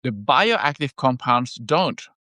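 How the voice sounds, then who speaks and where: A man whose first language is Indonesian, co-hosting a casual podcast chat and explaining things in a measured way with frequent pauses and fillers. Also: medium pitch, sing-song, sharp tone, no echo. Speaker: health product maker and podcast co-host.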